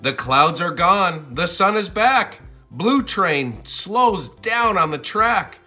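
A man reading a children's storybook aloud in an expressive, sing-song and deliberate way. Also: sits high, soft background music. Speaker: storybook narrator.

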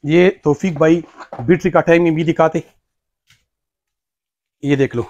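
A man in his thirties, a shopkeeper, eagerly pitching products in a crowded electronics shop, recorded on a lapel mic. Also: fairly even intonation, unhurried, darkish tone, highs cut off, slight background noise. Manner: eager sales pitch, repeating "ye dekh lo". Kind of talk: product demonstration.

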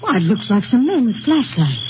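An actress playing a worried, affectionate middle-aged rancher's wife in a radio drama, folksy, on a muffled old recording. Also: female voice, a little high, big pitch swings, normal speed, noisy background. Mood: anxious. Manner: folksy, homespun. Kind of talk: 1950s radio drama acting.

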